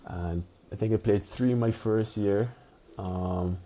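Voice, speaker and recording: A man in his twenties from Barbados, a pro tennis player chatting as a podcast guest, relaxed and loose with lots of "you know". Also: on the low side, slow pace. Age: 20s. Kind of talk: casual interview chat.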